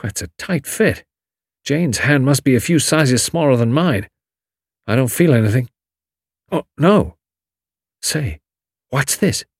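A man voicing a confident, excitable young American adventurer with a slangy drawl, in an audiobook story. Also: medium pitch, slow, long pauses, slightly rough, dry recording, studio quality, pitched above the performer's own voice, exaggerated voice. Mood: excited.

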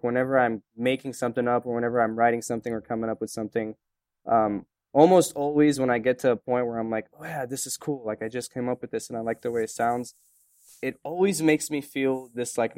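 Man, Romanian native, smooth resonant voice, dry close sound, reflective interviewee. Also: medium pitch, fairly even intonation, fairly quick, darkish tone, no echo. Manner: reflective, rambling with many fillers. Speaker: interviewee, photographer and musician. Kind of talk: casual interview answers.

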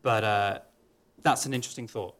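A man, an opera singer answering audience questions in a thoughtful, measured way with frequent fillers. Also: lowish voice, fairly even intonation, a bit bright. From Wales.

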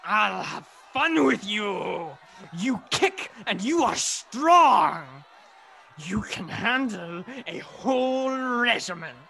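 An actor playing a cruel, menacing soldier in a radio play, sneering, taunting and commanding. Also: male voice, high-pitched, very animated, slow pace, vocal fry, sharp tone, heavily acted. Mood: menacing, shouting.

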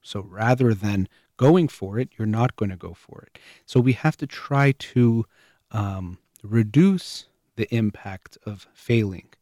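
A male host talks through parenting advice in a calm, explanatory way, leaning on everyday examples. His voice sits fairly low, with a warm, soft tone. The recording is close and dry, with no room sound. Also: takes it slowly, dry recording. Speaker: show host.

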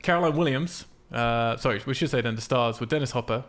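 A man's voice: medium pitch, fast talker, fairly bright tone. A man hosting a casual film podcast, explaining things in an informal, sweary way. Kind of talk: casual film discussion from notes.

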